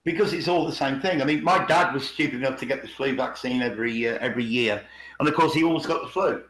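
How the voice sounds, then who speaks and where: A man's voice: medium pitch, fast talker, a bit bright. A middle-aged man in casual, opinionated discussion on an online call, rephrasing himself emphatically as he goes. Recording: roomy, echoey online call.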